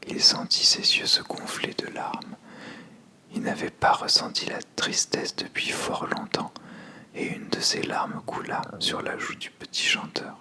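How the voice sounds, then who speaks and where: The voice in your headphones at bedtime, slowly whispering an old fable, soft and deliberate. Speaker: storyteller. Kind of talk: ASMR whispered scripted narration.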